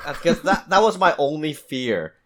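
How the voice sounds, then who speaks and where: A man's voice: on the high side, big pitch swings, normal speed, a little hiss or hum. A man co-hosting a gaming podcast, bantering casually with friends and swearing bluntly.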